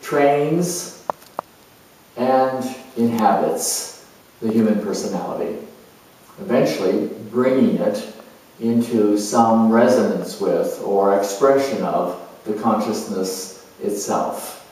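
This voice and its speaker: A man giving a measured, explanatory lecture as an astrologer introducing a performance, halting and deliberate, with long pauses. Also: on the low side, darkish tone. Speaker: astrologer, presenter. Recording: very echoey room, distant mic.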